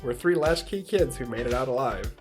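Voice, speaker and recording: A woman's voice: extremely low pitch, expressive, fairly quick, darkish tone, faint music behind. A woman in her forties co-hosting a podcast, in comedic chat and promo lines. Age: 40s. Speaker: podcast co-host.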